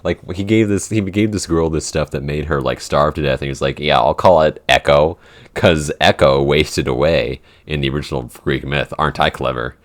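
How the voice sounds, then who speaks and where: A man co-hosting a casual podcast Q&A, thinking aloud in a halting way as he explains his writing. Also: a bass voice. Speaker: podcast writer and co-host answering listener questions. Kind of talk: casual Q&A chat.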